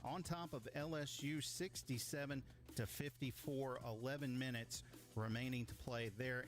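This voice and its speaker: A man hosting a radio sports studio update, giving a brisk rundown of scores. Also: mid-range voice. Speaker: studio host.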